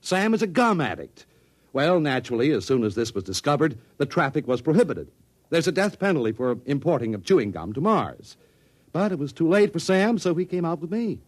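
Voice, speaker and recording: An actor playing a glib, fussy ball club owner with a genteel, explanatory manner in a 1950s radio drama. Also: male voice, on the high side, sing-song, brisk, dry recording. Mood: smug explaining.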